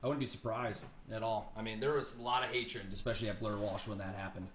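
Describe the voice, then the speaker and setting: A man's voice: fairly low pitch, fairly even intonation, quick pace, fairly bright tone. A man co-hosting a casual sports chat, recorded with a roomy echo. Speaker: podcast co-host. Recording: roomy echo, faint background noise.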